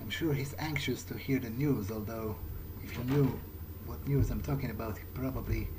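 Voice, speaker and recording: A man's voice: medium pitch, moderate pace, background noise. A man improvising in character during a video game playthrough, casual, hesitant and wry. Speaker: let's play gamer speaking in character.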